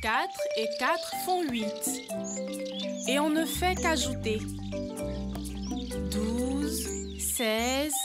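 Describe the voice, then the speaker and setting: A woman voicing an eager, earnest young elephant child in a children's cartoon, speaking in a deliberate childlike way. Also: sits high, over loud music. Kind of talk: children's educational cartoon dubbed in French.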